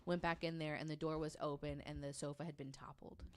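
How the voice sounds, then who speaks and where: A woman's voice: sits low, little pitch movement, brisk, clear, resonant tone. A woman telling a true story casually on a podcast, reading quotes aloud in an informal, hesitant way.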